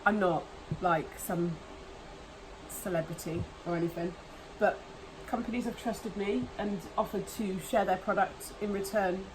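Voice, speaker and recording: A woman talks through an unboxing in a casual, gushing way, sniffling now and then from hay fever. Her voice sits at an ordinary pitch for a woman. A faint hiss of background noise runs under the recording. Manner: chatty, gushing over products. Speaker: nail vlogger. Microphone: camera mic, voice off camera.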